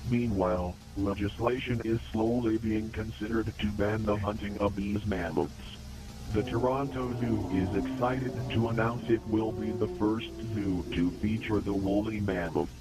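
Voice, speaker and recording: A man narrating a scripted documentary-style public warning in a formal, measured, impassive manner. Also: on the low side, fairly even intonation, over music, rough recording.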